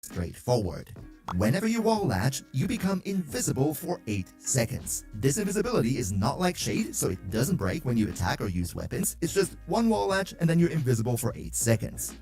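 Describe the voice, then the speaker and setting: A man's voice: mid-range voice, wildly swooping pitch, a little fast, a little mellow, soft background music. A man narrating a scripted game guide in an upbeat, enthusiastic explainer manner.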